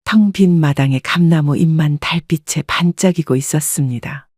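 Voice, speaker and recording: A woman narrating a story in the first person, calm and measured. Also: low voice, husky, no room sound, studio quality.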